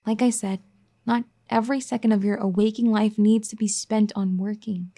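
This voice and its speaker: A woman voicing a caring, firm young wife in an ASMR roleplay, soft-spoken and gently scolding. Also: medium pitch, steady tone, moderate pace, lightly acted.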